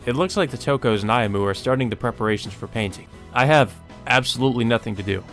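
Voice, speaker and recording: A man's voice: medium pitch, fast, fairly bright tone, faint music behind. A man hosting a let's play, reading a visual novel aloud and adding casual asides.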